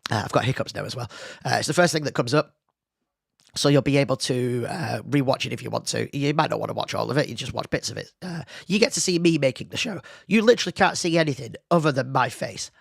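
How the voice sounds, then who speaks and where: A man's voice: mid-range voice, fast, vocal fry, husky, no room sound, clean studio sound. A man hosting his own podcast alone, chatty and self-deprecating, rambling with fillers while losing his voice. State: losing his voice, slightly hoarse. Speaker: podcast host. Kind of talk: casual solo podcast chat. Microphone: studio mic with headphones.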